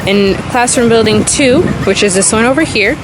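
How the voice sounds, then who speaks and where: A woman's voice: on the high side, normal speed, low-quality recording. Young woman giving a walking tour. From Jamaica. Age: 20s. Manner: friendly, explanatory, pointing things out as she walks. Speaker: student tour guide. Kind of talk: walking campus tour vlog. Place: outdoors on a breezy campus, then an indoor hallway. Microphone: handheld camera at arm's length. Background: loud background music.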